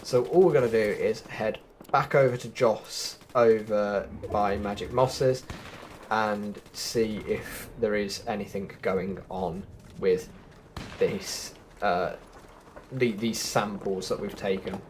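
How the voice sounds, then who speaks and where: A man's voice: lowish voice, steady tone, slow pace, a little hiss or hum. A man giving a casual, chatty gameplay walkthrough, explaining things directly to viewers as a video host.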